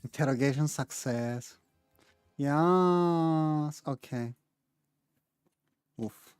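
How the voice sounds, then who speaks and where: Casual game streamer, unhurried muttered reactions, dry close sound over soft music. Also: medium pitch, clear, resonant tone, no echo.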